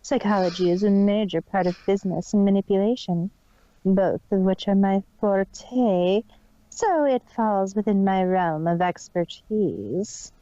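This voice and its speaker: A woman's voice: mid-range voice, unhurried, dark tone, no echo, lo-fi sound, natural voice. A woman voicing a smug, scheming female pony villain in a fan fiction reading, boastful and matter-of-fact. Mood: smug, bragging. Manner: matter-of-fact, self-satisfied.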